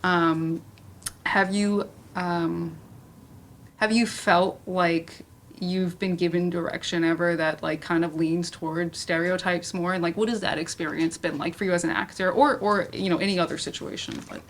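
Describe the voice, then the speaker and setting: A woman's voice: fairly low pitch, fairly even intonation, moderate pace. A woman moderating a casual panel discussion, talking in a rambling, conversational way with lots of "like". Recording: noisy room ambience.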